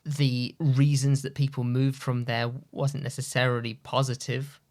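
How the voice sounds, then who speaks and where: Man, interview host; gravelly, friendly, sums up his guest's points. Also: mid-range voice, little pitch movement, measured pace, darkish tone. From United Kingdom. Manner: friendly, summarizing questions. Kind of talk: conversational interview.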